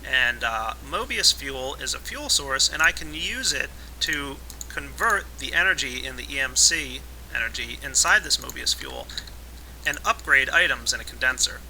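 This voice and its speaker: A man with a raspy voice today, casually explaining a modded game as its let's play host, with relaxed fillers. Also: medium pitch, moderate pace, slight background noise, low-quality recording. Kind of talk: casual gameplay tutorial narration.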